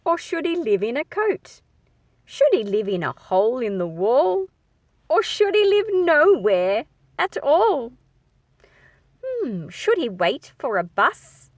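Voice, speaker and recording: A woman reading a picture book aloud to children, expressive and engaging the listener as she goes. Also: sits high, slow pace, no room sound, dull audio. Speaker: children's story reader.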